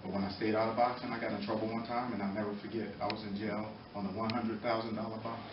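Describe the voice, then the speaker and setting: Low, even-voiced American man in echoey room. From Norwalk, Connecticut, United States. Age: middle-aged. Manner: earnest, halting, pausing between short phrases. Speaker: former boxer speaking in support of a youth program. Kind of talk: impromptu public testimony at a committee meeting. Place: indoor meeting room, far from the mic. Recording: echoey, muffled, distant, noisy room recording.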